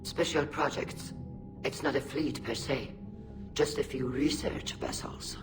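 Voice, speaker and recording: A dutiful, unapologetic alien fleet admiral from a video game voiced by a man. He talks in a firm, formal military way at a middling pitch. Clear music runs behind him. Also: measured pace, natural voice. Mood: firm, resolute.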